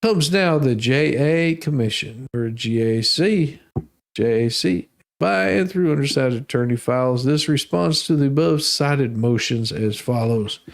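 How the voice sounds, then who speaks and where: An American man with a slightly higher than average voice reads out formal documents for comic effect. He is folksy and sarcastic, slipping casual asides between the official wording. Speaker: host reading out legal documents. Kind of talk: humorous dramatic reading with casual asides.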